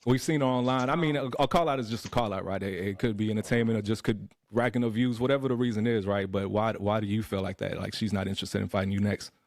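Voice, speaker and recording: Low-voiced man in a dry, close recording. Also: fairly even intonation, fast talker, hardly any pauses, no echo. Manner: teasing, laughs often. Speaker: podcast co-host. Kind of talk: casual chat.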